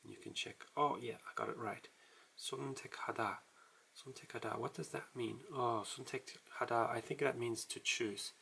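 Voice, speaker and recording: A man casually walking through a writing tutorial, explaining in a halting, self-deprecating way as he writes. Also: medium pitch, fairly even intonation, creaky, sharp tone, slight background noise. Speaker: language tutorial host.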